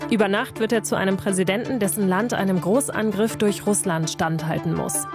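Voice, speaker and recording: A woman presents a news podcast in a brisk, clear voice. Music runs clearly beneath her narration. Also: mid-range voice, fairly even intonation. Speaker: podcast host.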